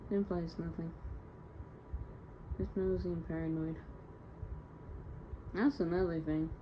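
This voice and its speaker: A young woman with a slightly low voice talks as if to a private diary. She rambles casually and candidly in slangy phrases, sounding wounded and downcast. The recording is a bit muffled and lo-fi, with faint noise behind it. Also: fairly even intonation, a little slow. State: emotionally hurt, downcast. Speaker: audio diarist. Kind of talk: casual confessional audio diary.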